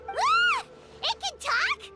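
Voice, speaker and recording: A woman voicing a small, excitable, chatty fairy girl companion in a video game, with a squeaky childlike voice. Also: very slow, full character voice. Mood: startled, indignant. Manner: refers to self in third person. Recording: loud music behind.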